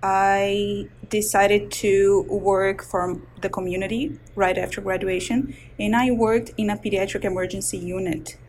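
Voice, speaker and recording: A woman's voice: medium pitch, little pitch movement, a little slow, few pauses, darkish tone, a little hiss or hum. Brazilian woman doctor, hesitant explaining. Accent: Brazilian Portuguese. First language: Portuguese. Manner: explains medical terms carefully with frequent hesitations. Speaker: resident physician and researcher. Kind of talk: interview answers.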